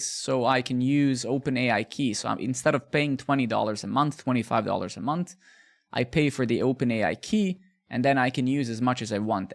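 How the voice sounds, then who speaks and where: A man with a gravelly edge to his voice, talking his viewers through a coding session. He is chatty and relaxed, restarting his phrases as he thinks aloud and answers their questions. His pitch sits mid-range for a man. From Bosnia. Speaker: live coding streamer. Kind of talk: casual live-stream chat while coding.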